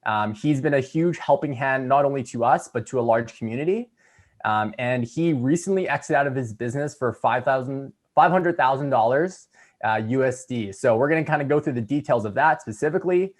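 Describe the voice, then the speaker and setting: A man's voice: mid-range voice, animated, fairly quick. A young man hosting a casual startup podcast, talking in an upbeat, enthusiastic way. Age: young adult. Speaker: podcast host.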